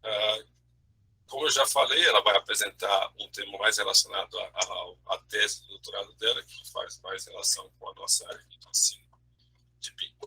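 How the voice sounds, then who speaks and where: Man from Brazil, webinar host, very raspy, sharp bright tone, halting intro, keeps adding tag questions. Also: fairly low pitch, rough recording. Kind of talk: introducing a speaker. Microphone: video call.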